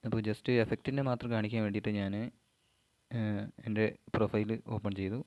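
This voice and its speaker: A man from Kerala, giving an informal step-by-step tutorial in halting, short phrases. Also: lowish voice, level tone, darkish tone. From Kerala, India. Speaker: amateur vlogger giving an app tutorial.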